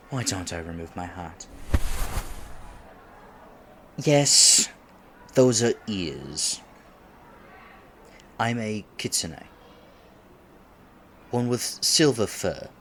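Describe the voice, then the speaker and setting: Man playing a flustered young fox-man, lively swooping low voice. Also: drawn-out words, long pauses, a little hiss or hum, heavily acted. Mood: puzzled, amused. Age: 20s. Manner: flustered, stammering, politely formal. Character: nervous, polite young male silver fox kitsune, eager to seem mature. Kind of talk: ASMR roleplay, scripted one-sided date conversation.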